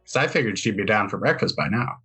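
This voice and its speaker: A man acting as game master, narrating and bantering in a deadpan way during an improvised tabletop roleplaying session. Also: fairly low pitch, fast, slightly rough, soft background music.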